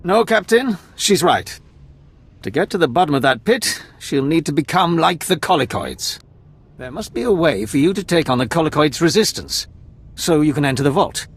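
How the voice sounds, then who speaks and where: An Imperial major in a video game. He is affable and decisive, with a fairly high voice that moves freely up and down as he muses. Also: normal speed, slightly rough, heavily acted. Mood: inquisitive. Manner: animated, musing. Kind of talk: video game cutscene acting.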